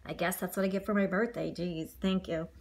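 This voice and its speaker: A woman voicing a homesick male lion from a children's storybook, excitable and sarcastic. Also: fairly low pitch, brisk, creaky, pitched below the performer's own voice. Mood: sulky, underwhelmed.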